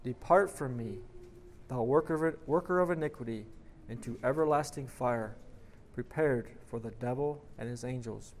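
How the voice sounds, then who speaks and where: A man voicing a grave divine judge who makes a solemn, commanding pronouncement in a slightly lowered, quieter voice. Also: normal speed, a little hiss or hum, close to an everyday voice.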